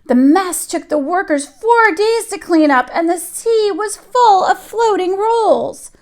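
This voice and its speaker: Lady reading a picture book to kids, pretty high voice, dramatic and expressive. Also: slow pace. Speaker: children's story reader, teacher. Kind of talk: read-aloud children's storytelling.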